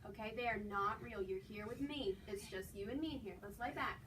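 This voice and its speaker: A woman's voice: mid-range voice, a little fast, lightly acted. A woman acting an earnest new nurse in an amateur skit, reassuring and repeating herself to soothe. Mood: soothing. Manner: reassuring, repetitive, checking with "okay". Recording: distant microphone, noisy room.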